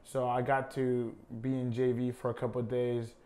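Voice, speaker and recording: Young man from Honduras, flat voice. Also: mid-range voice, moderate pace. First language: Spanish. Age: young adult. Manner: relaxed, many fillers like "I mean" and "uh". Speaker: college soccer player, guest. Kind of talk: casual interview.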